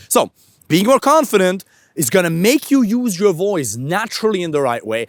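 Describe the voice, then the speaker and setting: A man's voice: a little high, no room sound, clean studio sound. A man coaching other men on how to use their voice, talking to camera while walking outdoors, emphatic and casual, with the odd swear word. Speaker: dating coach.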